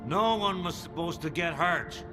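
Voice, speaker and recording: A man's voice: a little high, unhurried, lightly acted. An actor playing a nervous, bargaining gang crook in a video game, talkative and eager to save his skin. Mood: confiding. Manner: pleading, talkative. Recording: loud music behind the voice.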